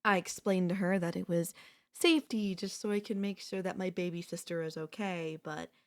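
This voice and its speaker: A woman voicing an obsessive yandere sister-in-law in an ASMR audio roleplay, sweetly menacing, coaxing and chatty, calmly unapologetic. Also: lowish voice, normal speed, natural voice. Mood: calmly confessional.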